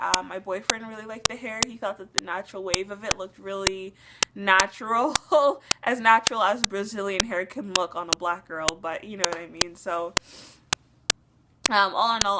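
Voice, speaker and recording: A woman's voice: mid-range voice, very noisy. Chatty young woman vlogger, slightly sick. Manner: chatty, many fillers and restarts. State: slightly sick, losing her voice. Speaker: beauty vlogger. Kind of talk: casual rambling hair review.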